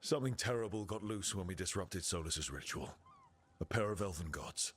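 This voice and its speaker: An actor playing a resolute, caring young adventurer who leads a team in a video game, speaking earnestly and directly. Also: male voice, low voice, animated, moderate pace, a bit bright, slightly rough, full character voice. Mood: businesslike, determined. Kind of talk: video game cutscene acting.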